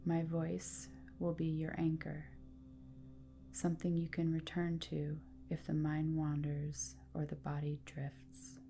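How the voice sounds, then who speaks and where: A woman guiding a relaxation meditation in a calm, soothing manner, speaking in deliberate phrases with pauses. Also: low voice, gravelly, faint music behind. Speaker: yoga nidra guide. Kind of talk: guided relaxation meditation, scripted narration.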